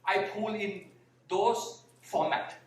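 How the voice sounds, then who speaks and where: A man with a Thai accent giving a slow, deliberate talk on a conference panel, sometimes repeating his words. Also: high voice, fairly even intonation. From Thailand (Bangkok). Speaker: panel speaker, vegan activist. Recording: echoey hall, mic heard from far.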